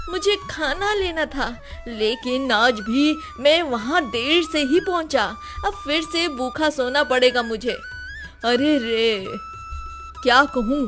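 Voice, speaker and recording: A woman voicing a humble, lonely bachelor with an effusive, plaintive way of talking, in a moral cartoon story. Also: high-pitched. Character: Popatlal, lonely self-pitying bachelor, humble younger man.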